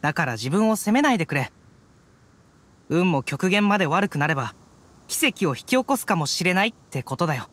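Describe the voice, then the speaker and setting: A man's voice: high-pitched, big pitch swings, a little fast, fairly bright tone. A man playing an earnest young adventurer in anime-style game dialogue, telling his story expressively. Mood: reassuring.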